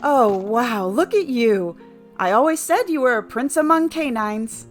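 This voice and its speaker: Woman playing a prickly, animated, bewildered woman. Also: a little high, a little slow, soft background music. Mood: amazed. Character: prickly, bewildered woman newly arrived in the afterlife. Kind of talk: audio play acting.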